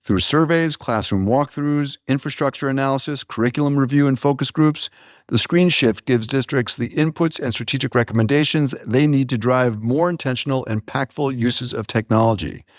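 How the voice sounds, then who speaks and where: A man's voice: medium pitch, moderate pace, no room sound, studio quality. A man co-hosting a podcast, talking in a casual, explanatory and chatty way. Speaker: podcast co-host. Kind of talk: casual conversational podcast talk.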